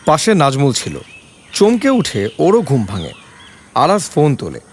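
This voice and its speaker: A man's voice: on the high side, huge pitch swings, measured pace, slight background noise. A man giving a dramatic scripted narration of an audio story.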